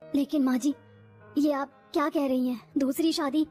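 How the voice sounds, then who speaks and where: A woman's voice: high voice, fairly even intonation, normal speed, a little mellow, faint music behind, natural voice. A woman voicing a timid young wife in a cartoon who pleads and apologizes. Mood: pleading.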